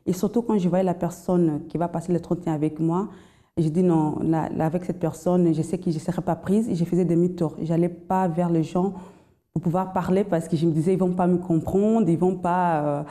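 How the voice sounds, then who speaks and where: A young woman tells her story in a hesitant, faltering way, with many pauses and restarts. Her voice is deep and mellow and her slight foreign accent shows she speaks a second language. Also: fairly even intonation. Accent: slight foreign accent in French. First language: not French. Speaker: testimony guest. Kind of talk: personal testimony.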